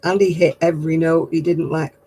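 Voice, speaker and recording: An older woman chatting casually on her craft livestream, answering viewers in a low, husky voice and a rambling, gossipy way. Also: flat delivery, brisk, dark tone. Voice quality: low, husky voice after throat surgery.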